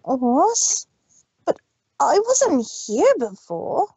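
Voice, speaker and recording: High, swooping fashion mare, stammering, a woman acting. Dry, muffled. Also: wildly swooping pitch, extremely slow, dark tone, no room sound, heavily acted. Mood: pleading. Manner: stammering, tearful pleading. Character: dramatic young fashion unicorn mare.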